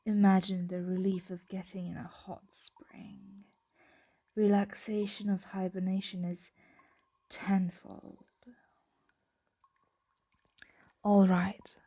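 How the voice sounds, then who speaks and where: Slow, breathy dragon played by a woman, ASMR. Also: medium pitch, level tone, dry recording. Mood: soothing, coaxing. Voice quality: breathy, soft, often whispering. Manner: slow, intimate, coaxing. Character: possessive, tender dragon hoarding a human pet. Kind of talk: ASMR roleplay. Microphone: close microphone.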